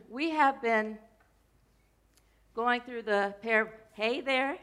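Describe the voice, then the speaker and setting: A woman minister giving a loose, chatty sermon to her congregation. Her voice is scratchy today and she keeps clearing her throat, and she tends to wander off topic and joke along the way. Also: fairly high pitch, very slow, fairly bright tone. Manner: rambling, playful, drifts off topic mid-sentence. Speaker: minister of a church.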